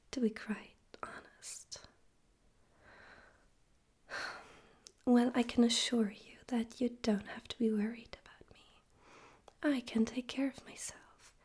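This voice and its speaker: Smug radio demon overlord played by a woman, ASMR roleplay. Mellow breathy whisper, higher-pitched, teasing and condescending. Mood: amused, puzzled. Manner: slow, teasing, theatrical, condescending. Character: smug male radio demon overlord, politely menacing and amused.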